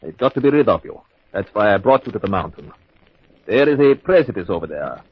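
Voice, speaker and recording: An actor playing a cold-blooded murderous husband in an old-time radio mystery, speaking in a formal, measured, theatrical way. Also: male voice, on the low side, very animated, slightly rough. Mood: cold, menacing. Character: smooth, cold-blooded husband plotting his wife's murder. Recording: dull lo-fi old radio recording.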